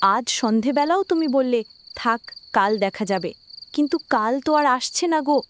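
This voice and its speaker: A woman's voice: sits high, expressive, moderate pace, fairly bright tone, slight background noise. A woman voicing a worried, scolding village wife and mother in a cartoon, pleading and complaining over hardship. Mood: anxious pleading. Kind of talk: dramatic cartoon voice acting.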